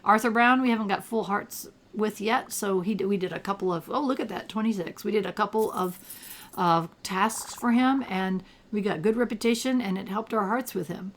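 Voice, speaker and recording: An older retired woman with a gravelly, allergy-roughened voice, chatting casually while she plays a game and thinks aloud. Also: mid-range voice, moderate pace, slight background noise. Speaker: gameplay commentator. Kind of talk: casual gameplay commentary.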